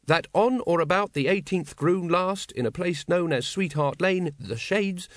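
A man's voice: on the high side, no echo, studio quality, pitched above the performer's own voice, lightly acted. A man voicing an earnest, pedantic young watchman in an audiobook, reciting formally and officiously.

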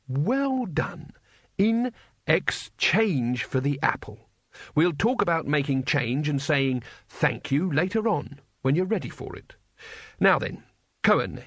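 A fussy male schoolmaster played by a man narrating an audiobook, patient and precise. He coaxes and corrects in a raised pitch, recorded very dry. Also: big pitch swings, takes it slowly, slightly rough, no room sound, full character voice. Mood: patient correcting. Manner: precise, didactic, coaxing. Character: patient, fussy male schoolmaster civilizing unruly old warriors. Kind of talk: audiobook character acting.

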